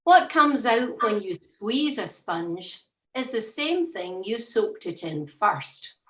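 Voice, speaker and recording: Expressive, slow-reading woman with roomy echo. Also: mid-range voice. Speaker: devotional reader. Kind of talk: scripted church reflection reading.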